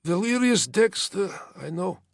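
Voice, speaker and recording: A man voicing a dying wounded male soldier who talks slowly with weak, strained gallows humor. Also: sits high, sing-song, creaky, no room sound, clean studio sound, pitched above the performer's own voice, full character voice. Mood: wry, strained. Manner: slow, grinning gallows humor.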